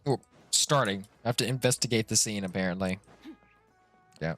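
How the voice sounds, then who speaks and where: A man's voice: on the low side, moderate pace, a bit bright, faint music behind. A man giving casual, playful live stream commentary while he plays a video game, offhand and reacting aloud.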